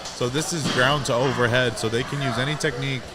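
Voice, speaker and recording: A man's voice: mid-range voice, fairly even intonation, fairly quick. A man in his twenties giving live sports commentary, explaining technique in a technical way. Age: 20s. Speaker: strength competition commentator and coach.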